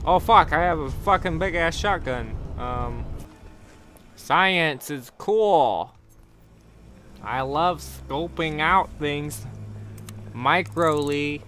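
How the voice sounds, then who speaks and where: A man narrating his gameplay with jokes and frequent swearing. He talks slowly in a slightly high voice. Clear game music plays throughout under him. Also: pushed and bright. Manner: joking, swears often, slips into silly put-on voices. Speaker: gameplay commentator. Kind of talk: casual gameplay commentary.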